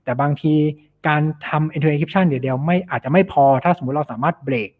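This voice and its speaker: A man's voice: a little high, fairly quick. Man presenting tech, thin phone-like sound. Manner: explanatory, peppers Thai with English technical terms. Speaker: cybersecurity specialist presenting. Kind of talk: informal technical presentation. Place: online meetup over slides. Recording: thin phone-like online meeting audio.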